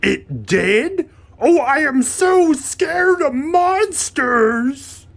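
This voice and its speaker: Furry lil puppet monster for a kids storybook, gravelly, super dramatic, swoops all over the place. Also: male voice, very high voice, big pitch swings, fairly bright tone, heavily acted. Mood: excited, alarmed. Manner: melodramatic, drawn-out, emphatic delivery without contractions. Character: lovable furry male monster, excitable, easily frightened, pleading. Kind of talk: theatrical children's storybook puppet performance.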